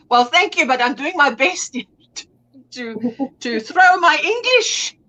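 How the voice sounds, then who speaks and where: A woman's voice: sits high, very animated, slow, bright, forceful tone, low-quality recording. A woman with an Afrikaans accent, a native Afrikaans speaker, chatting as a guest on a livestream and often searching for the right English word. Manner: chatty, searches for words and restarts. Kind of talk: casual livestream chat.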